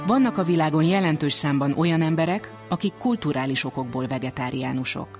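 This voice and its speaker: A male radio presenter with a voice a little higher than most men's reads scripted documentary narration. He sets out the material as a programme host. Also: over music.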